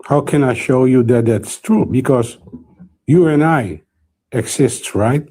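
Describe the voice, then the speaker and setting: A man's voice: mid-range voice, slow pace, warm tone, slightly rough. A man in his forties with a Guyanese accent, a native Creole speaker, debating casually and searching for words. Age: 40s. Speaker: guest debater.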